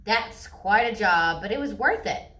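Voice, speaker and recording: A woman voicing an excitable young boy bear cub in a storybook, loud and emphatic. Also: medium pitch, measured pace. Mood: satisfied.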